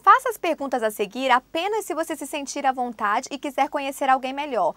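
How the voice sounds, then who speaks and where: Bright-voiced woman presenting a language lesson. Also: sits high, lively intonation, dry recording. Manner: clear, measured prompting of phrases. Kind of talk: scripted lesson narration. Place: studio.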